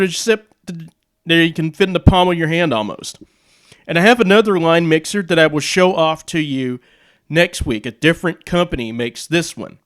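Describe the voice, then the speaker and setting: A man's voice: a little high, clear, resonant tone. An American man explaining things casually and off the cuff, with rambling, conversational asides. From United States. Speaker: video host, radio hobbyist.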